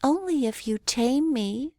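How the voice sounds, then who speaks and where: A coy, gentle female fox from a children's storybook, played by a woman reader. Her voice sits high and lilts slowly and deliberately, in a very dry recording. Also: expressive, dry recording.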